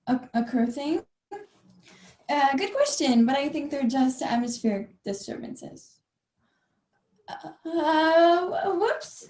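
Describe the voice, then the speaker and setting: Young woman as halting astronomer, roomy sound. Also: fairly high pitch, dark tone. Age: teens to young adult. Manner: halting, fumbling for words. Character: bumbling, unprepared chief astronomer, female. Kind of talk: spoof radio play acting. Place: room at home, video call. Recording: roomy video call.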